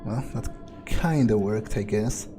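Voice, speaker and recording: A man's voice: fairly low pitch, unhurried, music in the background. A man doing in-character game commentary, thinking aloud in a musing, hesitant, casually sweary way. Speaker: video game player narrating in character.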